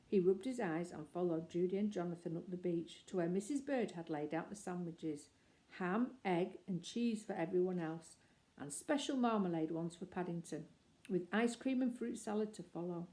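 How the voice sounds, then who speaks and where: A woman reading a children's chapter book aloud, telling it in a gentle, expressive storybook manner. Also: lowish voice, normal speed. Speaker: storybook reader.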